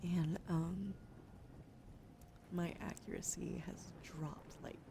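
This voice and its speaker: A young woman whispers close and soft in ASMR style, her voice creaky and gravelly at the edges. Her throat is wheezy, and she chats casually, thanking viewers and swearing now and then. There is a clear hiss of noise under her stream mic. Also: fairly low pitch, fairly even intonation, takes it slowly.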